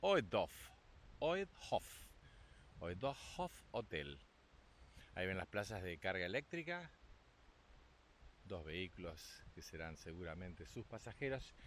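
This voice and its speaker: A man's voice: low voice, sing-song, takes it slowly, long pauses, fairly bright tone, a little hiss or hum. A man from Argentina with an Argentine accent, narrating a family walking trip outdoors in a chatty, upbeat way and encouraging his young son. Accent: Argentine Spanish. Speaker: vlogger and father. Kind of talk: travel vlog narration while walking. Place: outdoors on a rural walking trail.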